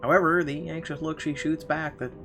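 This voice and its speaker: A man narrating a visual novel as a let's player, reading aloud a little haltingly with casual asides. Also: fairly high pitch, steady tone. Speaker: gamer reading the story aloud. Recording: game music clearly behind the voice.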